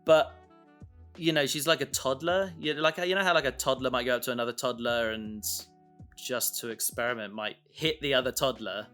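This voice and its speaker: Bright-voiced man thinking aloud. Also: mid-range voice. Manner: informal, halting, thinks aloud. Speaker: co-host, audio drama creator. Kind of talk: casual discussion. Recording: music playing underneath.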